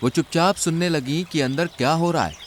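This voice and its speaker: A man's voice: a little high, brisk, slight background noise. A man narrating a scripted children's story.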